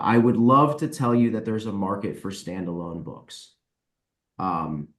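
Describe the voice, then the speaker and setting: A man giving blunt, explanatory answers in a casual interview as a podcast guest, recorded in an echoey room. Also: low-pitched. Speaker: guest, book publisher.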